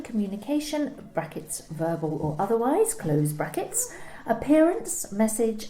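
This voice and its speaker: High, mellow, guarded office woman acted by a woman. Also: a little hiss or hum. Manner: wary, reluctant, questioning. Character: guarded, incredulous female office employee. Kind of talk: amateur radio play acting. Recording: roomy, echoey home recording.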